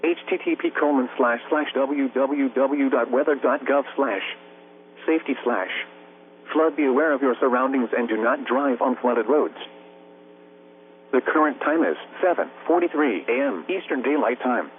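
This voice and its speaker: A man's synthetic computer voice reading a scripted weather bulletin as a radio announcer, formal and measured. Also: medium pitch. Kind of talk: scripted weather bulletin read by text-to-speech. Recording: noisy narrowband weather radio broadcast, humming. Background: radio hum and static.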